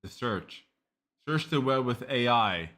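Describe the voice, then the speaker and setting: Rambling young-sounding man reviewing software. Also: mid-range voice, animated, slow pace. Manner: rambling, heavy use of "like", frequent restarts. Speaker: tech reviewer. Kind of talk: casual screen-recorded software review.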